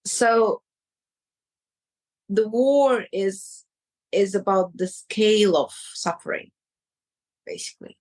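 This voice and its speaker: A woman with a Ukrainian accent, a coach speaking in an interview, choosing her words slowly with many pauses. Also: medium pitch, a little mellow, rough recording. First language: Ukrainian or Russian. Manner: slow and searching, pausing between words. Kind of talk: reflective interview answers.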